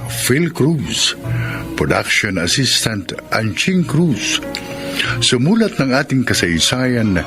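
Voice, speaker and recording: A man announcing a radio drama in solemn, scripted, dramatic narration. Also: medium pitch. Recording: loud music under voice. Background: loud background music.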